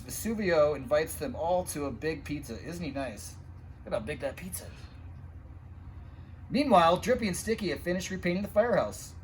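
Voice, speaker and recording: Male storytime reader, slightly high voice, expressive and child-directed, some background noise. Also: rough recording. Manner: expressive, addressing young listeners with asides and sound effects. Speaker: firefighter reading a children's picture book aloud. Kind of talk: animated storytime read-aloud.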